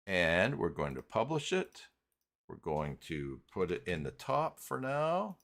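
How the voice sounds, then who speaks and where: A man hosting an unscripted live software tutorial, talking haltingly as he thinks aloud while working. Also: sits low, sing-song, gravelly. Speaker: host of live tutorial stream.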